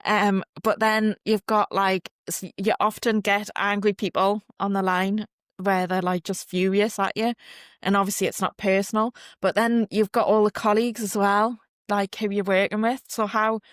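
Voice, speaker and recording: A Geordie woman hosting a conversational interview. She rambles chattily, padding her questions with filler words, at an ordinary middle pitch in a dry, close recording. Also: little pitch movement, normal speed, no room sound. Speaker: interview host.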